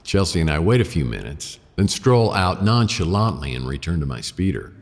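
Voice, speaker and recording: A man's voice: sits low, sing-song, normal speed, in a large hall. An actor playing a wry, hard-boiled private investigator who narrates his case in a laconic noir radio drama style. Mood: wry, watchful.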